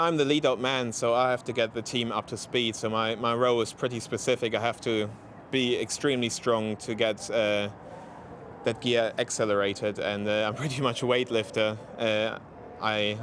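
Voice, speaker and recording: Athlete, male, German accent, low-pitched, halting with fillers, outdoor street noise behind. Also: steady tone, a bit bright. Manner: halting, many fillers and restarts. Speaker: interviewed cyclist. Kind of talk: post-race interview.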